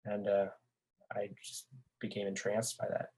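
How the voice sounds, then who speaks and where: A man's voice: on the low side, completely flat delivery, measured pace, darkish tone, breathy edge. A young man chatting casually and enthusiastically as a podcast guest. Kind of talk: casual chat.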